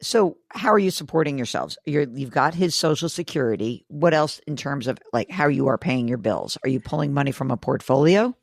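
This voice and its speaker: Woman host, hoarse and raspy, brisk and direct, lively pitch, dry close sound. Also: no echo. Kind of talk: call-in money advice show.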